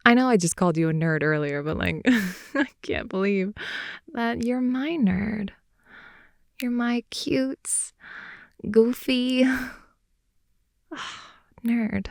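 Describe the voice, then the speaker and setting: Woman playing a creaky, unhurried, playful girlfriend. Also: fairly high pitch, dry recording, clean studio sound, exaggerated voice. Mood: playful, giggly. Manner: rambling, giggly, teasing, with hushed asides. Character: playful, clingy, goofy girlfriend rambling at bedtime. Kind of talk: improvised ASMR girlfriend roleplay, intimate casual chat. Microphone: close mic, dry.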